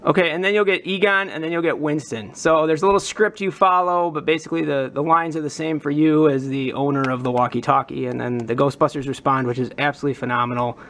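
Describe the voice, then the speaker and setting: A man's voice: on the high side, steady tone, quick pace, few pauses, a bit bright. A man presenting a prop modding demonstration in an informal, enthusiastic, casual explanatory way. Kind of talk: casual explanatory hobby vlog.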